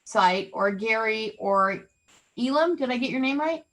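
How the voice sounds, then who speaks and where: A woman's voice: fairly high pitch, expressive, measured pace, a bit bright, poor audio. A woman leading an interactive online real estate training, chatty and teasing, often asking the group questions over a video call.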